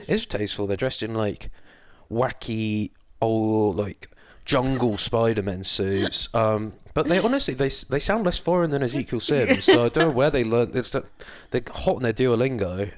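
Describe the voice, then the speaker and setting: A man's voice: mid-range voice, expressive, moderate pace, few pauses, no echo, studio quality. A man co-hosting a film podcast, chatting casually with wry, sarcastic humour and some swearing. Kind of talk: casual chat, recapping a film plot.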